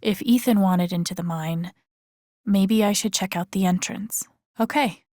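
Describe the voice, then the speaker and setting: An actress voicing a wry, caring young woman in a video game, muttering to herself in a soft, understated, dryly musing way. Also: mid-range voice, a little slow, no echo, studio quality, natural voice. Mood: focused musing. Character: young woman, wry, caring, thinking aloud while searching. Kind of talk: video game voice acting, muttered self-talk while exploring.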